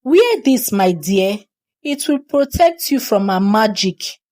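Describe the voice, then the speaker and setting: A woman's voice: mid-range voice, lively intonation, slow, darkish tone. A woman voicing a fearless, protective old grandmother in a folktale, emphatic and resolute.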